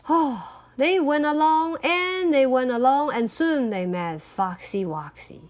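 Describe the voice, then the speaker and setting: A woman's voice: high voice, expressive, unhurried. A native Mandarin-speaking woman reading a picture book aloud expressively, with chatty asides as a parent would. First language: Mandarin Chinese.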